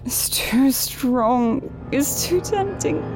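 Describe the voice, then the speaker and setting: A woman's voice: high voice, animated, extremely slow, over music, exaggerated voice. A woman playing a vulnerable, entranced soldier in an audio drama, yearning and struggling to resist.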